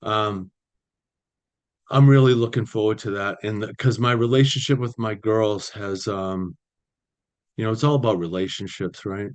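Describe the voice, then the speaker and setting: A man, sharing his recovery story at a meeting over a video call, halting with many fillers. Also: on the low side, steady tone. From Boston area, US. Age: 60s. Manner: halting, many ums and you-knows, restarts. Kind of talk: personal recovery share.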